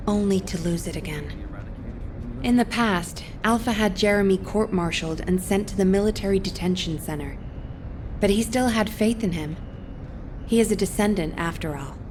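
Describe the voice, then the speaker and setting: Actress as businesslike military officer woman, game cutscene, rumbling noise behind. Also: lightly acted. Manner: brisk, businesslike briefing tone. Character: composed female headquarters officer. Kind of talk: video game cutscene voice acting. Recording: noisy, rumbling backdrop.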